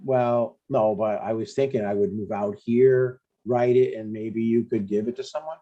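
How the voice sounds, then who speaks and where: A man's voice: medium pitch, steady tone, normal speed, lo-fi sound, close to an everyday voice. A man playing a chatty, stubborn older father in a comedy table read, in a conversational, mildly needling way. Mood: breezy, coaxing.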